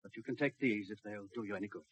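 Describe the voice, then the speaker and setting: Fast, husky, distraught father. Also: fairly low pitch, no echo. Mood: weary, subdued. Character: distraught, stubborn wealthy father of a kidnapped girl. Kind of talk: 1950s radio drama acting. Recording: dull, dry 1950s radio recording.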